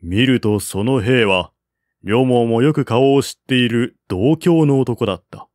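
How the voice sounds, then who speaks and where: Male narrator for historical fiction, formal, unhurried delivery, close dry sound. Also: medium pitch, a little mellow, no echo. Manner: formal, measured reading. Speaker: audiobook narrator. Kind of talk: scripted literary narration of a historical novel.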